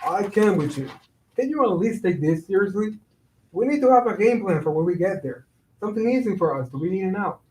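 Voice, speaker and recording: Actor as anxious responsible male buddy, high voice, exasperated, Spanish mixed in, distant echoey stage reading. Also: animated, brisk, a little mellow, slight background noise. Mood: teasing, worried.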